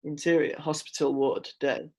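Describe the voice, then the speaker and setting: A man's voice: fairly high pitch, level tone, normal speed, darkish tone, a little hiss or hum. A man narrating scene descriptions from a script in a dramatic reading. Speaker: script reader. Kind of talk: scripted narration, screenplay read-through.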